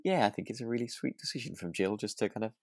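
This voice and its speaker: A man's voice: on the low side, lively intonation, fast, gravelly, a little mellow, dry recording, studio quality. A British man co-hosting a podcast, chatting casually in a hesitant, meandering way. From United Kingdom. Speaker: podcast co-host. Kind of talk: casual chat.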